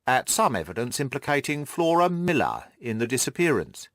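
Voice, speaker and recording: A man voicing a gruff, irritable, cocksure police detective in an audiobook, blustering and snappish. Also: mid-range voice, big pitch swings, moderate pace, a bit bright, dry recording, studio quality. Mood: smug, bitter. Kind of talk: audiobook character dialogue.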